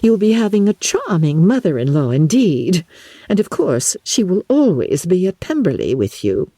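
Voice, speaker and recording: A woman's voice: lowish voice, big pitch swings, normal speed, warm tone, breathy edge, dry recording, voice pushed up, heavily acted. A woman voicing a snobbish society lady with an affected, gushing, archly mocking manner in an audiobook. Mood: mock astonishment.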